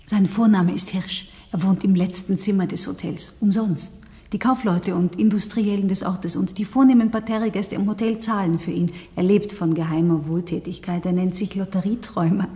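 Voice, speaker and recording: An actress playing a composed, helpful hotel resident in a radio play, speaking in a matter-of-fact way. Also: female voice, medium pitch, steady tone, moderate pace, dark tone, in a large hall, lightly acted. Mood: explanatory. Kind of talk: German radio play acting.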